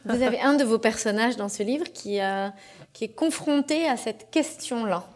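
A woman's voice: a little high, normal speed. A woman interviewer asking careful questions with some hesitations.

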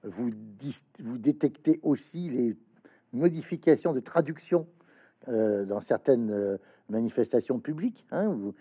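Male interviewer, mellow dark voice, stammers a lot, lots of hein and euh. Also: medium pitch, animated, husky. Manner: halting, stammering restarts, many fillers. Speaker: interviewer, host. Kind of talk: interview questions, reading passages aloud.

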